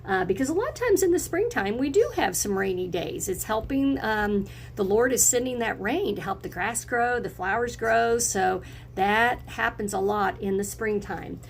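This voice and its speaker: Woman teacher, children's read-aloud, pronounced vocal fry, expressive simple phrasing, some background noise. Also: medium pitch, moderate pace. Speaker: teacher reading a picture book to young children. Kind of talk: read-aloud lesson for children, with questions to listeners.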